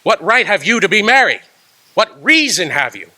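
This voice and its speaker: A man playing a miserly, bitter old moneylender in a radio drama, gruff and scornful. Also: sits high, sing-song, normal speed, bright, forceful tone, heavily acted.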